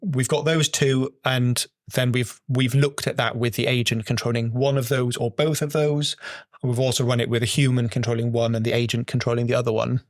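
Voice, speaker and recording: A man speaks as an interviewed researcher, explaining technical work in conversation. His pitch is mid-range and he rephrases as he goes. The recording is close and very dry, with no room sound. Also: level tone, fairly quick, no room sound. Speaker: project researcher being interviewed.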